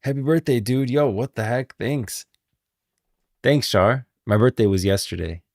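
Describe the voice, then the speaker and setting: A man's voice: mid-range voice, lively intonation, measured pace, darkish tone, no echo, studio quality. A man hosting a livestream, chatting and reacting casually in informal, slangy speech. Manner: informal, slangy, thanks viewers often. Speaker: livestream host.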